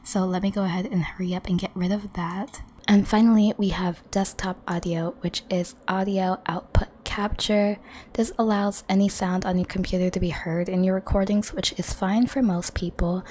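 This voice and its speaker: A woman with a hoarse voice, losing her voice, chatting in a friendly way to viewers as she walks through a computer tutorial on a desktop microphone at home. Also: medium pitch, steady tone, moderate pace, a little mellow, slight background noise. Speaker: gaming channel host. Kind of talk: casual screen-recording tutorial. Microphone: desktop condenser microphone.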